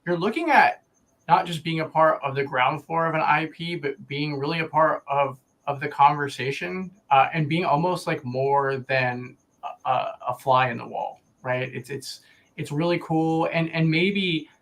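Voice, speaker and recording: Guy co-hosting, kinda high voice, really into it, casual hype talk. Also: moderate pace, fairly bright tone, low-quality recording. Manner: enthusiastic. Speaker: co-host and promoter. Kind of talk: casual promotional chat.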